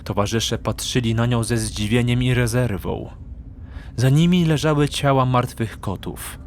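A man's voice: medium pitch, a little slow, a little hiss or hum. A man narrating a fantasy audiobook in a dramatic, scripted storytelling style.

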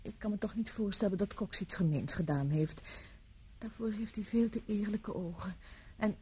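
A woman's voice: medium pitch, normal speed, dark tone, slightly rough. An actress from the Netherlands playing a charming, teasing young society woman in a radio drama. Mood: coy, teasing. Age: young adult. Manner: teasing, polite, airy.

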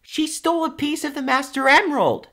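A man's voice: very high voice, animated, a little fast, vocal fry, sharp tone, voice pushed up, exaggerated voice. A man playing a brash, teasing young cartoon hedgehog hero in a casual fan audio drama. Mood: concerned, startled.

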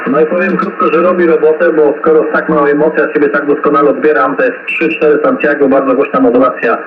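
A man's voice: a little high, little pitch movement, quick pace. A man chatting in a friendly, polite way as an operator in a casual CB radio contact. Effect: CB radio transmission. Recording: narrow, very noisy shortwave radio reception. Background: loud radio static.

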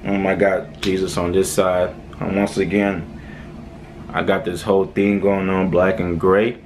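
Laid-back deep-voiced Haitian man vlogging. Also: level tone, measured pace, noisy background. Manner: laid-back, rambling, many "um"s and "all right"s. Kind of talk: casual talk to camera. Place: small room indoors. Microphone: camera close in front.

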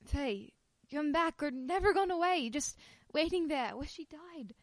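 A woman's voice: high voice, animated, a little slow, dry recording. A woman acting an anxious but stubborn wife in an old-time radio play. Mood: defiant. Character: Pamela Brent, anxious but stubborn young English wife.

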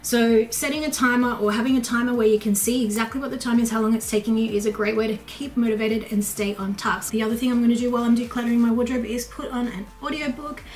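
A woman's voice: fairly high pitch, steady tone, fast talker, few pauses, a little mellow. An Australian woman in her thirties talking casually and practically as a home lifestyle vlogger in a small bedroom, recorded on a nearby camera. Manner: upbeat, chatty, practical. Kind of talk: casual chatty vlog talk. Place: small bedroom by a wardrobe, indoors.

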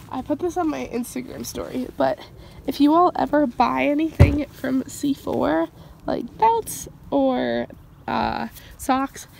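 A woman's voice: high-pitched, vocal fry, darkish tone, a little hiss or hum, poor audio. A young woman chatting casually to her phone camera outdoors at a horse barn, stuffed up and still getting her voice back after losing it, rambling with lots of "like". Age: young adult. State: stuffed up, recovering from lost voice. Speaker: equestrian vlogger. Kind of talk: casual vlog chat. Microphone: phone camera held at arm's length.

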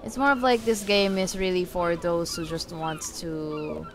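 Lady doing a casual lets play, kinda low voice, chatty, thinks out loud n trails off, loud game music behind her. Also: a little slow. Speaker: gamer playing and commenting on a video game. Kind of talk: casual let's-play commentary. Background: video game music.

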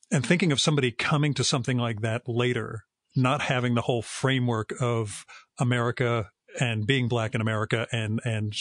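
A man's voice: medium pitch, dry recording, studio quality. A middle-aged man from New Jersey hosting a podcast, asking long, meandering questions with hesitations. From northern New Jersey. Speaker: podcast host. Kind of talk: interview questions.

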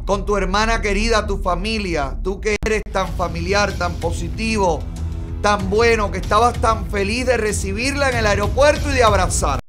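A man's voice: high voice, moderate pace, music in the background. A middle-aged Cuban man with a Cuban Spanish accent, hosting a gossip commentary show in a studio, emphatic and sarcastic. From Cuba. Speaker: host. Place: bright studio, seated in a red chair.

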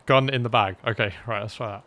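A man streaming a game, chatting casually with a teammate in an excitable, sweary, exclaiming way. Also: mid-range voice, unhurried. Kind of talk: casual gaming commentary with a teammate.

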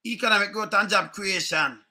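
A middle-aged Jamaican man speaks in patois straight into a webcam from a room at home. His voice sits high and has a sharp, bright edge. He talks in an animated way and circles back over the same points again and again. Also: a little fast. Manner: animated, chuckling, repeats phrases, gestures a lot. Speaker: online commentary host. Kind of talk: casual political commentary in patois. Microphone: webcam at desk.

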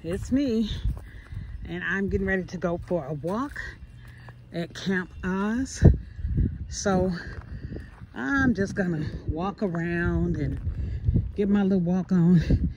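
Female vlogger, middle-aged. Unhurried, chatty, plain-spoken, recorded on a handheld phone with noticeable background noise. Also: on the low side. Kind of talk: casual vlog chat to viewers. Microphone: phone at arm's length.

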